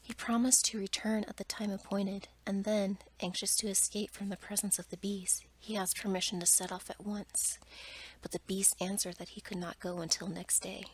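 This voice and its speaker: A woman reading a bedtime story aloud in ASMR style, in a soft, breathy whisper with careful, hushed delivery. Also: medium pitch, level tone, normal speed, a little hiss or hum, poor audio.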